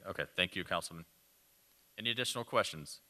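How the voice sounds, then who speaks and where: A man's voice: low voice, fast talker, bright, forceful tone. A man chairing a city council meeting as mayor, running motions and public hearings in routine procedural phrasing. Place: council chamber. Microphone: desk microphone.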